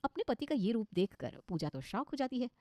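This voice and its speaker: A woman's voice: on the high side, sing-song, a little fast, a little mellow, no room sound, studio quality. A woman narrating a scripted cartoon story as its storyteller.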